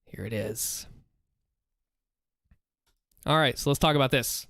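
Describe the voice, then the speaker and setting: A man's voice: medium pitch, takes it slowly, creaky, fairly bright tone, slight background noise. A man with a Southern US accent, chatting casually on a livestream, thinking aloud and encouraging viewers. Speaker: streamer. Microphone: close desk mic with headphones.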